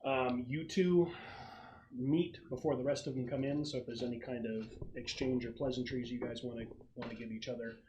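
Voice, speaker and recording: A man acting as game master, explaining the setup casually in a halting, thoughtful way. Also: mid-range voice, steady tone, noisy background. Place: casual online or home gaming session.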